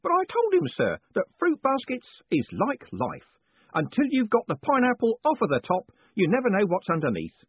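A man's voice: high voice, huge pitch swings, normal speed, breathy edge, dry recording, voice pushed up, exaggerated voice. A man voicing a cheerful, chatty male prison warden who talks in a chummy, rambling, deferential way. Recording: tinny, phone-like dry sound.

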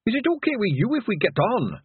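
A man's voice: sits high, huge pitch swings, brisk, breathy edge, no room sound, phone-quality sound, pitched above the performer's own voice, full character voice. A man voicing a small, feisty Scottish pixie leader in a storybook, with a folksy, conversational manner. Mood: nervous.

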